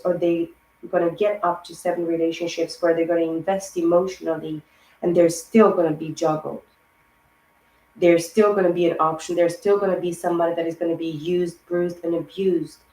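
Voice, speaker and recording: A woman giving a rambling spiritual tarot reading, hoarse and losing her voice, piling up words in long lists. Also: lowish voice, little pitch movement, moderate pace, dark tone, roomy sound, low-quality recording. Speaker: tarot reader.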